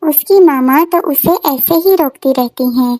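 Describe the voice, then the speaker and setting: A woman's voice: high-pitched, a little slow, mellow, dry recording, studio quality. A woman narrating a scripted children's story as storyteller, in a simple, repetitive manner.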